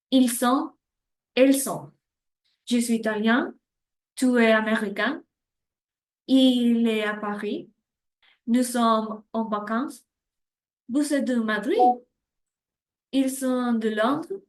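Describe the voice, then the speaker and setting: A woman teaching French grammar, slowly reading out example phrases in a scripted lesson. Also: on the high side, a little mellow.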